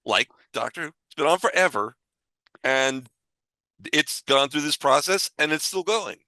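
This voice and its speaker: A man's voice: a little high, animated, a little slow, pushed and bright, no echo. A man co-hosting a casual podcast, chatting conversationally and adding emphatic agreement. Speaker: podcast co-host.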